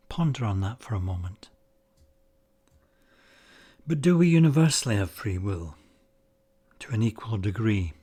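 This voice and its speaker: A man leading a guided meditation, speaking in measured, deliberate short phrases with pauses between them. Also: on the low side, darkish tone. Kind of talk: guided meditation, slow spoken instruction.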